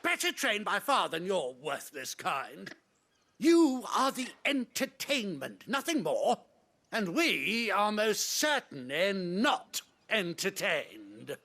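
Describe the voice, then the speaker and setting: A pompous British nobleman for a video game, pitched extremely high and sharp. He is theatrical and cruel, savouring words slowly with haughty disdain. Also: big pitch swings, full character voice. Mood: outraged, contemptuous.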